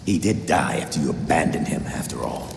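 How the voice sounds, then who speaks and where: An actor playing an arrogant, corrupt middle-aged villain in a video game, pompous and mocking. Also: male voice, rumbling low, animated, brisk, gravelly, full character voice. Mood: menacing. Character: arrogant, corrupt high-society crime lord, taunting and defiant. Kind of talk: dramatic video game acting. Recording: echoey, reverberant game audio with noise.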